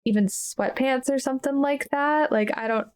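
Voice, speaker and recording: A woman's voice: sits high, normal speed, clear, resonant tone. A woman playing a tabletop roleplaying game, chatting casually and musing tentatively over ideas. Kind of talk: casual collaborative chat.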